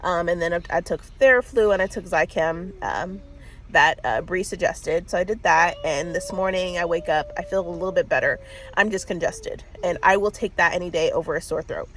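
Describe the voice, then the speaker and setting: A woman's voice: on the low side, a little fast, a bit bright. A woman in her thirties with a stuffy cold, chatting casually on a book vlog, rambling with lots of fillers and little laughs. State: congested with a cold. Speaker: book vlogger. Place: in a car.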